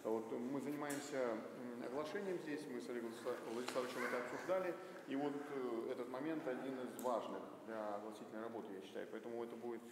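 A man, a parish priest, making quick, offhand remarks to the congregation. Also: medium pitch, steady tone. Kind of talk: informal remarks. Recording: distant, roomy, with crowd noise.

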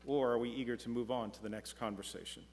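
A middle-aged man preaching a sermon from a pulpit, earnest and measured, slowing down when reading scripture. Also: mid-range voice, fairly even intonation, a little mellow. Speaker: pastor. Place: church sanctuary, speaking from a pulpit. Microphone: pulpit mic, far camera. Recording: long church reverb.

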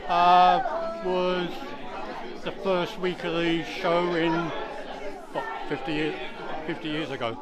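A man's voice: on the high side, flat delivery, slow, crowd noise. Older man reminiscing in a crowded foyer. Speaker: theatregoer. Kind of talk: recounting a memory.

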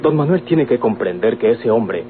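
A man's voice: medium pitch, expressive, moderate pace, natural voice. A man acting in an old Mexican radio drama as a firm, defiant grown son in love, earnest and declamatory. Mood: earnest, resolute. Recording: old tinny radio recording, noisy hum.

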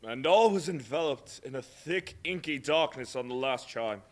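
A man narrating a live stage play in a measured storytelling way. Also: medium pitch, expressive. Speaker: narrator and actor. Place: large hall with audience.

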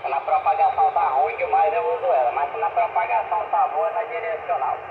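A man's voice: on the high side, quick pace, creaky. A Brazilian man in a casual two-way radio chat, repeating himself and ending phrases with short tag questions. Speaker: amateur radio operator. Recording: muffled, noisy radio setup, room echo.

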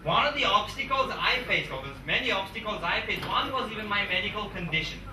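A man's voice: high voice, lively intonation, a little fast, recorded from a distance, music in the background. Rousing man in an echoey room. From England. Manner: emphatic, repetitive, rousing. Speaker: motivational speaker. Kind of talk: motivational speech to students. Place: large conference room, indoors. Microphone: handheld mic, far camera. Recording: echoey room, recorded from afar.

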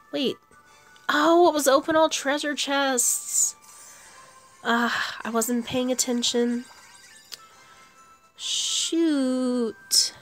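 A woman giving casual commentary on her own gameplay, thinking aloud as she plays. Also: high voice. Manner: thinks aloud while playing. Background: video game music.

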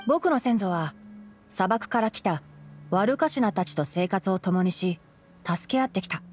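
Woman voicing a calm, studious young male forest ranger. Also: medium pitch, natural voice. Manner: measured, articulate, gently admonishing. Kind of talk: Japanese video game voice acting.

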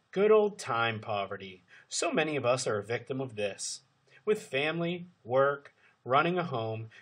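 A man reading scripted instructional narration as a narrator, with emphatic, deliberate phrasing. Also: on the high side, fairly bright tone, slightly rough.

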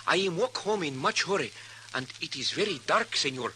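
A man playing a roguish Mexican townsman in a 1950s radio western, speaking halting broken English with Spanish words and a Mexican accent. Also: on the high side, expressive, sharp tone. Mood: eager, confiding. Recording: noisy humming 1950s radio recording.

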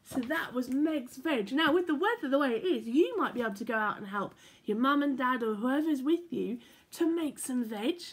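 Lively young woman reading picture books. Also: sits high, moderate pace. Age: young adult. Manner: animated, playful read-aloud with sound effects. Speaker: church children's storyteller. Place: living room at home. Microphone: laptop or phone camera at arm's length.

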